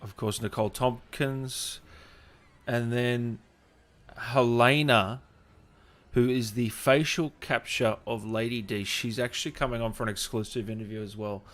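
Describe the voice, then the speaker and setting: Slow-paced man hosting a casual livestream. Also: mid-range voice, fairly even intonation, a bit bright. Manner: informal, matey, joking, often addressing viewers directly. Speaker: livestream host reading viewer comments. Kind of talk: casual livestream chat with viewers.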